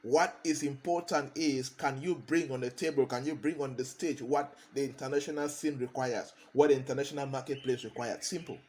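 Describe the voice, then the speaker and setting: A man's voice: medium pitch, fairly even intonation, a little fast, few pauses, darkish tone, slight background noise. A man, a consultant giving a motivational talk, hammering points home by repeating key phrases. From Cameroon.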